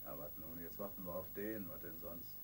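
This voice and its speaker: An actor playing a wry, self-assured police detective in a radio crime drama, colloquial and needling. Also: male voice, low-pitched, a little fast, darkish tone, natural voice. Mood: wry, pleased. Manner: colloquial, sardonic. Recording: radio play with faint noise.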